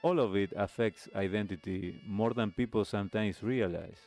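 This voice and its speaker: A man hosting a talk show, delivering a reflective monologue in measured, deliberate phrases with pauses. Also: fairly low pitch, darkish tone, faint music behind. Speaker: radio show host.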